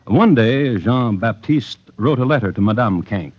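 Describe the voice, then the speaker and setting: A man narrating a crime drama in a deliberate, literate, dramatic old-time radio style. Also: medium pitch, expressive. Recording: muffled old radio recording. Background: music.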